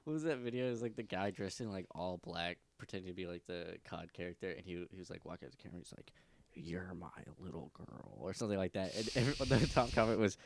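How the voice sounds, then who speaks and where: A man's voice: sits low, fast talker, creaky. Nasal, rambling man in his twenties. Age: 20s. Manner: rambling, laughing, swearing freely. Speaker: podcast co-host. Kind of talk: casual chat.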